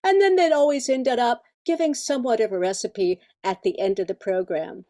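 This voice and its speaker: A woman's voice: a little high, normal speed, mellow. An older woman with an American accent reading a prepared presentation on radio history from her notes.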